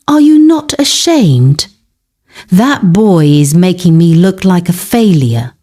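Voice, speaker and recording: A woman's voice: lowish voice, animated, slow. A woman storyteller voicing a strict, jealous male school hostel master who scolds and accuses. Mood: bitter scolding. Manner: sharp-tongued, scolding, accusing.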